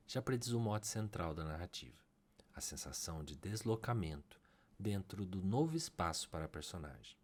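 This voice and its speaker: A man with a Brazilian Portuguese accent reads a literary essay aloud in a low voice. His pitch moves a great deal, lifting on key terms and then dropping back. The delivery is measured and academic. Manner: measured, academic reading with rising emphases. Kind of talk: scripted literary analysis narration.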